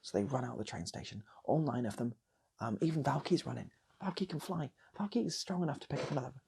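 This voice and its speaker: A man improvising a comic story in a soft, breathy ASMR style, often repeating phrases and slipping into whispers. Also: medium pitch, expressive, fast, background noise. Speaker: storyteller, channel host.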